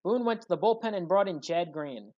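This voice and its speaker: A man narrating scripted play-by-play sports highlights as a commentator, with dramatic emphasis. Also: animated, fairly quick, darkish tone, muffled recording.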